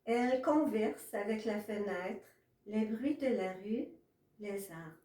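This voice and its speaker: Woman, poetry reading, slow measured phrasing, frequent pauses, soft-edged dark tone, distant from the mic. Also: mid-range voice, animated. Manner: measured, pausing between short phrases. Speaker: author reading own poetry. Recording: echoey room, distant from mic.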